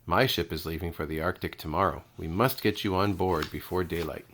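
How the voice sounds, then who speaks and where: A man voicing a friendly, brisk male ship's cat in a storybook. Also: low-pitched, fairly bright tone, a little hiss or hum. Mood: helpful.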